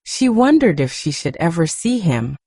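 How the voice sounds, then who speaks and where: Female audiobook reader: soft, hushed and gentle, slightly low, mellow tone, lively storytelling intonation. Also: normal speed, breathy edge, no room sound. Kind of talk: softly read storybook narration.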